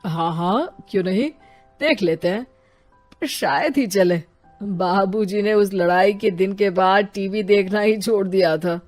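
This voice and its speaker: A woman voicing an excitable grown man, an older brother and householder, in a cartoon story. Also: medium pitch, a little slow, faint music behind, close to an everyday voice. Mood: reflective.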